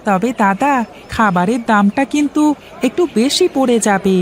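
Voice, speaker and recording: A man's voice: sits high, normal speed, lightly acted. Cartoon shopkeeper, played by a man: crafty, grasping, wheedling haggler, village merchant type. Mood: businesslike. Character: sly, money-minded male village fish-feed shopkeeper. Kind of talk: Bengali moral-story cartoon dubbing.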